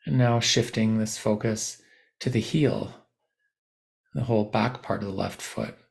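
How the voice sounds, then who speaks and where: Low, creaky-voiced man guiding meditation. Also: fairly even intonation. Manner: gentle, unhurried, instructive with long pauses. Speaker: meditation teacher. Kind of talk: guided body scan meditation.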